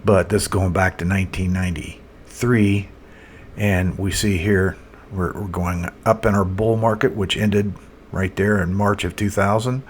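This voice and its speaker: A man with a very low voice talks through charts as a market analyst. His delivery is casual and unscripted, halting as he thinks aloud. Clear background noise sits behind the voice. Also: fairly even intonation.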